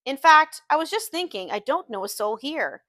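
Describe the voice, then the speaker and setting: A woman's voice: high voice, very animated, moderate pace, sharp tone, no room sound, studio quality, pitched above the performer's own voice, exaggerated voice. A woman voicing an arrogant wealthy husband in an audiobook, blunt and dismissive. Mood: aloof.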